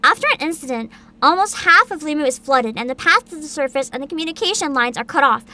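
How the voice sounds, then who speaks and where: A woman with a high, bright voice hosts a casual livestream. Her pitch moves around a lot as she chats and reads aloud with bubbly enthusiasm. Soft music plays behind her. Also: lively intonation, quick pace. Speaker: virtual streamer host. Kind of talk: casual livestream chat, partly reading aloud.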